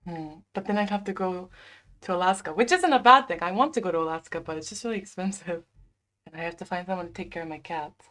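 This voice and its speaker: A young woman in her twenties chatting casually on a home livestream, reading viewer comments, full of "like" fillers and quick laughs. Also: fairly low pitch, fairly bright tone. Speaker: livestream host. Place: small bedroom at home.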